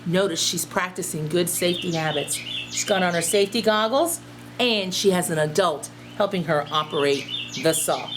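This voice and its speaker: A woman teacher reading a storybook aloud and chatting directly to young listeners in an expressive way. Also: fairly low pitch, moderate pace, background noise. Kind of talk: children's storybook read-aloud and chat.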